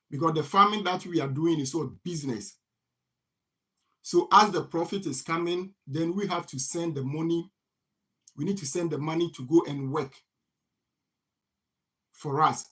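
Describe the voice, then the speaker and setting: A man with a somewhat high voice delivers a motivational money talk. He repeats phrases over and over and keeps asking the listener "what?" before giving the answer himself. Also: fairly bright tone. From Ghana. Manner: repetitive, poses rhetorical "what?" questions and answers them. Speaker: farming channel host. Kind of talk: motivational finance talk to camera.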